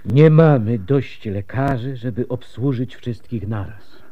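A weary, composed official physician in a radio play. He speaks slowly at a medium pitch, formal and resigned to fate. The sound is slightly dull. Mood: calm, resigned. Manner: measured, formal, calm. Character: weary, composed, fatalistic city district medic. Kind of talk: Polish radio drama acting.

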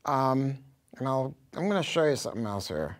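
An American man in his sixties talks casually to camera as a product presenter. His pitch is mid-range and swings in a lively way, with blunt, emphatic, colloquial phrasing. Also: normal speed. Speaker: audio dealer presenting products. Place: small acoustically treated listening room.

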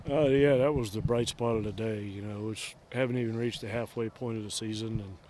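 A man's voice: lowish voice, fairly even intonation, brisk, a little mellow, a little hiss or hum. A middle-aged man, a head baseball coach, giving short blunt answers in a postgame interview outdoors at a ballpark at night. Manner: blunt, terse, matter-of-fact answers.

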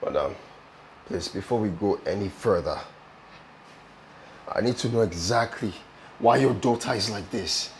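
Guy acting indignant hurt man in a drama, kinda high voice, really animated, keeps repeating his complaints. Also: moderate pace, reverberant, slight background noise, lightly acted. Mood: exasperated. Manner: emphatic, repeats himself, pleading complaints. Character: aggrieved injured man, indignant and complaining, hired by a madam. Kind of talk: film drama dialogue with comic exasperation.

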